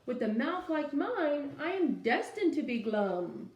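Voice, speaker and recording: A woman's voice: high voice, big pitch swings, measured pace, a little mellow, roomy sound, dull audio, heavily acted. A woman voicing a gloomy, pouting male fish in a storybook, speaking in a sulky, resigned way. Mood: glum. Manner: sulky, resigned, sing-song rhyme.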